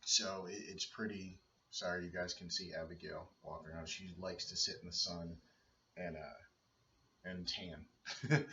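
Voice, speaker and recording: Deep-voiced man rambling in a roomy home. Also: pushed and bright, slight background noise. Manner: rambling, hesitant with many fillers and restarts. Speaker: vlogger and father. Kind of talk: casual home video blog. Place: dim room at home. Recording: roomy, distant, dull low-fi sound.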